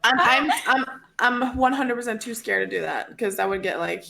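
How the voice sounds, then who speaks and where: A woman's voice: a little high, animated, moderate pace, vocal fry, a crowd in the background, low-quality recording. A woman in her twenties from Norway, a native Norwegian speaker, chatting casually and candidly as a podcast co-host. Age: 20s. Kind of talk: casual chat.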